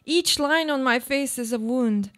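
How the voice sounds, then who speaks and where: A woman's voice: sits high, unhurried, a bit bright. A woman playing an anguished, obsessive housebound woman in a live radio play, with a theatrical, brooding delivery. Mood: desperate, anguished.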